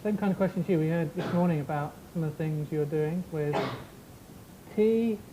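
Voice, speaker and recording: Relaxed male panel moderator, echoey room. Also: a little high, normal speed. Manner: relaxed, filler-heavy, informal. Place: conference stage. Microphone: handheld stage mic. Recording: echoey conference room with background noise.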